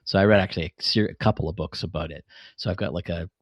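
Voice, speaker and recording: A man's voice: deep voice, fairly even intonation, fast, no room sound, lo-fi sound. A man, middle-aged, talking casually on a podcast and explaining things in an enthusiastic, rambling way. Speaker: podcast host and fiction writer.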